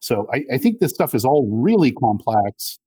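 A middle-aged man in a conversational political discussion, arguing in a halting, reflective way. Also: medium pitch, big pitch swings, warm tone, breathy edge, dry recording. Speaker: interview guest.

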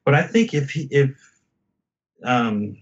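A man's voice: mid-range voice, very animated, takes it slowly, muffled recording. A man in a casual album discussion as a podcast host, talking in a reflective, hesitant, conversational way.

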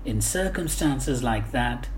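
A woman reading a humorous first-person anecdote aloud with measured, wry understatement, like a storyteller. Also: a bass voice, expressive. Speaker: guest reader of book excerpts. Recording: roomy echo, faint background noise.

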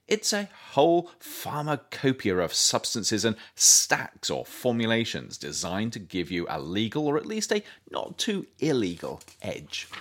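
Low-voiced man narrating, widely varied intonation. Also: a bit bright. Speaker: author narrating his own book. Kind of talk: scripted audiobook narration.

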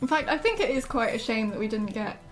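A woman talking casually and reflectively as a writer discussing her work, often halting as she thinks aloud. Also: fairly high pitch, big pitch swings, faint music behind. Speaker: writer discussing her own storytelling project.

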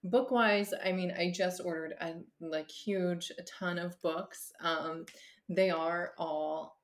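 A woman's voice: on the low side, fairly even intonation, slow. A woman therapist, a podcast guest, talking casually with many fillers, restarts and easy laughs. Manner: laughs easily. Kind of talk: casual conversation.

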